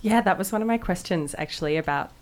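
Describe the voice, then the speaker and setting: Low voiced aussie woman, radio host, chatty laid back questions. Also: quick pace, slight background noise. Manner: relaxed, chatty questions with fillers. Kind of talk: radio interview.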